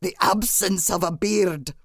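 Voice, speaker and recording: A woman's voice: medium pitch, unhurried, vocal fry, no echo, studio quality. A woman voicing a blunt, mocking dwarf miner who taunts in colourful insults. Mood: derisive.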